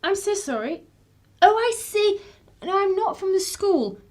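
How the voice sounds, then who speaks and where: A woman's voice: very high voice, big pitch swings, unhurried, a little mellow, exaggerated voice. An actress playing a polite, chatty, inquisitive English woman visitor in a radio drama, with a genteel manner and an English accent. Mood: apologetic.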